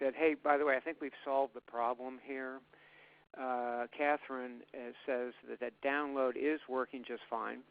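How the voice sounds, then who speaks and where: A man's voice: medium pitch, creaky. A man moderating a webinar, hesitantly relaying audience questions with frequent uhs. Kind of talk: reading audience questions aloud. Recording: tinny phone-line audio.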